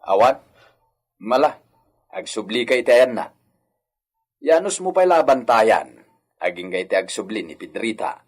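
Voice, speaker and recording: Husky, kindly male household worker, acted. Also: lowish voice, expressive, a little slow, pauses often. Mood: concerned. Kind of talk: radio drama acting in Ilocano.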